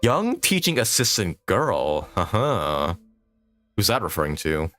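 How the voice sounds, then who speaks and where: A low-voiced man hosting a casual game stream. His intonation swings freely as he jokes and muses with the chat, with faint music in the background. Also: very animated, measured pace, pushed and bright.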